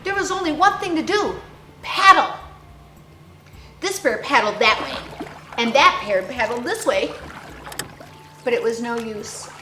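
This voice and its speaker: A woman storyteller reading a picture book aloud to young children with theatrical, deliberate phrasing and dramatic emphasis. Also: fairly high pitch, animated, pushed and bright, slight background noise. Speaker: children's storyteller, clown character host. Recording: roomy echo, faint music behind.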